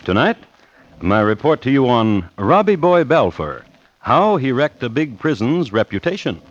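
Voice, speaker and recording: An actor playing a dry, deliberate crime connoisseur who hosts and narrates an old-time radio show. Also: male voice, medium pitch, big pitch swings, dry recording. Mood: wry, deliberate. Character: dry, deliberate male crime connoisseur telling murder tales. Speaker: host and narrator. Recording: dull vintage radio recording.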